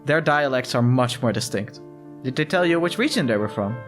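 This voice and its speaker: A man's voice: mid-range voice, expressive, brisk, natural voice. A man voicing a modest young shop assistant in a visual novel, polite and earnest. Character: modest young male furry shop assistant, foreign newcomer. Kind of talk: visual novel character read-aloud. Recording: music behind the voice.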